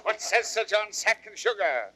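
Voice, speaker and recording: Sly, cheery thief, dry sound. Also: male voice, high-pitched, expressive, moderate pace, bright, forceful tone, dry recording, heavily acted. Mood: cheery greeting. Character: sly, cheery thief coaxing his comrades. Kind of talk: old radio-style Shakespearean acting.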